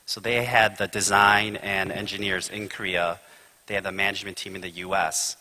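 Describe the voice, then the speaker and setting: Deep-voiced man telling a panel anecdote. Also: flat delivery, bright, forceful tone, rough recording. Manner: anecdotal, unhurried, with fillers. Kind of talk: conference panel discussion.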